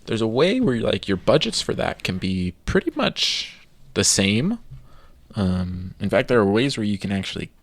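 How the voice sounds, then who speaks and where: Deep gravelly podcast host. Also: male voice, dry recording. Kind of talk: casual podcast chat.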